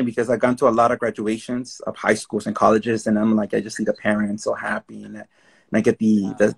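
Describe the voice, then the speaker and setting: Husky-voiced man hosting a video call. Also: lowish voice. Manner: relaxed storytelling, conversational. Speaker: panel host. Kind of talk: casual panel discussion. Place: room at home, indoors.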